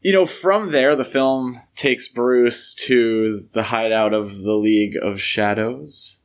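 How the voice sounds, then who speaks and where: A man speaking as a podcast co-host, discussing film in a conversational, measured, explanatory way. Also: medium pitch.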